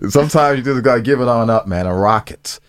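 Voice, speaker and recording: A man's voice: medium pitch, fast, no room sound. A man co-hosting a podcast with playful, slangy, joking banter, swearing freely and repeating his phrases. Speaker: podcast co-host.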